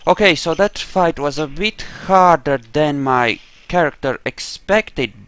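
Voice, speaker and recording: Slow-paced man doing game commentary. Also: a little high, fairly bright tone, clear, resonant tone. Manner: explains his character's thoughts, trash-talks enemies. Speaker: gamer, playthrough host. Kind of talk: casual role-play game commentary.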